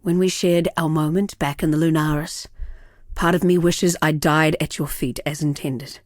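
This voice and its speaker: An actress playing a proud, sardonic villainess and world-weary killer in a video game, speaking in a theatrical, measured way. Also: female voice, low-pitched, steady tone, darkish tone, no echo, clean studio sound, natural voice. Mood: brooding, sardonic. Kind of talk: dramatic scripted game dialogue.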